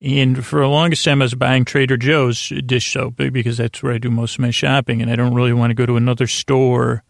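An American man hosting a sleep podcast, rambling in a halting, meandering monologue with stammers and frequent self-corrections. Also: medium pitch, creaky, no room sound, studio quality. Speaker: podcast host.

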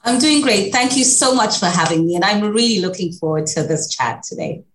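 A woman's voice: mid-range voice, animated, fairly quick, reverberant, a little hiss or hum. A woman from Kenya with a Kenyan accent, answering interview questions in a reflective, conversational way. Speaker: interview guest, executive director.

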